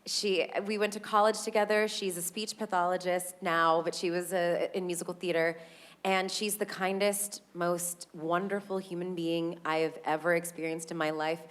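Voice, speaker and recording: A woman's voice: on the low side, fairly even intonation, normal speed. A woman in the cast speaking on a panel, earnest and reflective, with some hesitations. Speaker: Broadway cast member. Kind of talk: panel talkback.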